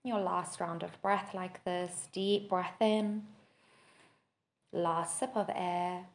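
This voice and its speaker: A woman with a lowish voice guides a body scan meditation. She speaks very slowly and gently. Each measured instruction is followed by a long, unhurried pause. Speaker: podcast host and meditation teacher.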